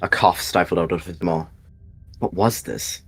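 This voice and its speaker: Man, low pitch, husky tone, dramatic story narration for roleplay. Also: moderate pace.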